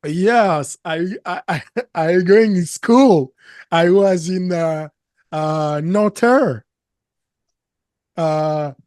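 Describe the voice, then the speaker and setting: A man in his thirties with a French accent, a native French speaker and business coach, talking haltingly in a studio interview and repeating words while he searches for English. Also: high voice, darkish tone, no room sound. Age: 30s. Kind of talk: interview conversation. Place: studio set, seated in armchair.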